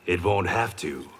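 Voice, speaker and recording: A man's voice: low voice, exaggerated voice. A man playing a wry, confident swordsman in a video game, casual in his delivery. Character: wry, blind male ex-yakuza swordsman. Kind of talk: video game character dialogue. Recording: loud noise and clicks behind.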